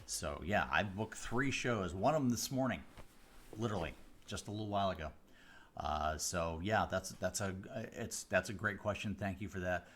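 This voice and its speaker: A man from the Chicago area, a mentalist hosting a live stream, chatting casually with viewers on a close studio mic, rambling with fillers and restarts but slowing down with deliberate pauses. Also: low voice, fairly bright tone. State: voice deeper than usual today. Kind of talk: casual chat answering viewer questions. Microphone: close studio microphone.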